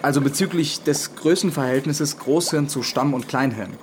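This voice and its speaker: An actor playing a young student in a radio drama, matter-of-fact and teasing, explaining and bantering. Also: male voice, on the high side, moderate pace, background noise, close to an everyday voice. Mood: explanatory. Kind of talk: German radio drama acting.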